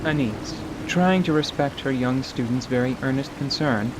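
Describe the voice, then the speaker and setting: Casting: male narrator, mid-pitched, scripted fantasy storytelling over audible wet outdoor ambience. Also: moderate pace, noisy background. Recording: steady rain and stream ambience behind.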